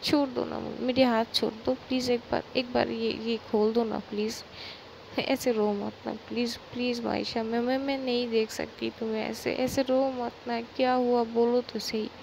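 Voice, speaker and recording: A woman's voice: a little high, little pitch movement, moderate pace, gravelly, darkish tone, a little hiss or hum, low-quality recording. A woman voicing a panicked young woman who stammers, repeats herself and begs. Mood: frantic. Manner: stammering, begging, repeating words.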